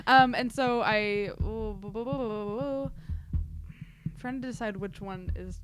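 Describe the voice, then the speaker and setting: Mid-pitched woman playing a tabletop game, noisy recording. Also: measured pace. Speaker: player. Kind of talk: casual tabletop game chat. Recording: noisy room recording with clicks.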